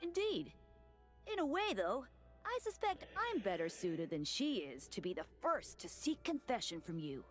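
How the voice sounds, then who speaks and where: A woman's voice: mid-range voice, very animated, normal speed, faint music behind, lightly acted. A woman voicing a youthful, mischievous male deacon in a video game, with a formal, mock-pious earnestness over cheeky words. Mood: polite, probing. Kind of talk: scripted video game dialogue.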